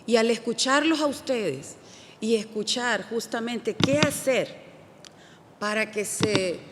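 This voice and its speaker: A woman from El Salvador, a parliamentary delegate, giving a measured, grateful formal intervention at a conference. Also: a little high. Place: large conference hall. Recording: echoey hall, faint noise.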